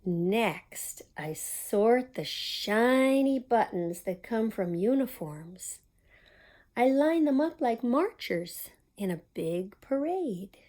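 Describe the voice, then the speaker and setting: A woman's voice: on the high side, animated, slow pace, a little mellow. A woman reading a children's picture book aloud as a storytime host, expressively and with small asides to young listeners. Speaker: storytime reader at a community library.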